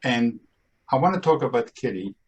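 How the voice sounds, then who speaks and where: A man's voice: mid-range voice, expressive, moderate pace, breathy edge, poor audio. A man in his seventies with a Brooklyn accent, hosting a podcast in a playful, emphatic, upbeat way. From Austria and Brooklyn, New York. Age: 70s. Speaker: podcast host. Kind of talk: casual podcast monologue.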